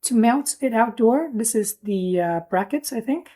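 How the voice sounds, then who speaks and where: Higher-pitched woman with a mellow tone. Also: expressive, a little slow. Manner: informal, plain-spoken explaining. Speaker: product reviewer. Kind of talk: casual hands-on product review.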